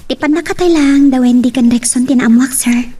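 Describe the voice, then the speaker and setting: A woman's voice: sits high, fairly quick, warm tone, breathy edge. A woman playing a respectful, apologetic and tender young woman in an Ilocano love drama. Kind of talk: Ilocano radio-style drama acting. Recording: distant from the mic.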